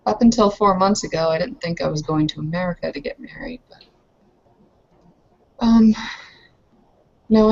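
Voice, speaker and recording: A woman's voice: lowish voice, vocal fry, darkish tone, highs cut off. A woman playing an earnest, proud young newcomer in an improvised roleplay, with formal, hesitant, questioning phrasing.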